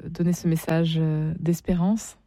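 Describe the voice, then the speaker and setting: A woman hosting a live radio phone-in, speaking into a studio microphone with hesitant, halting phrasing. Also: sits low, little pitch movement, mellow, no echo. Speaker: radio call-in host.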